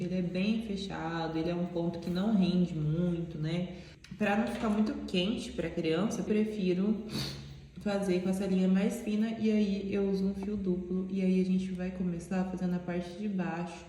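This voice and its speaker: A woman's voice: medium pitch, warm tone, slight background noise. A woman with a stuffy, nasal voice, explaining a crochet pattern step by step in a chatty tutorial manner. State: stuffy nose. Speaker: crochet teacher. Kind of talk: casual tutorial talk. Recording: very echoey room with long reverb.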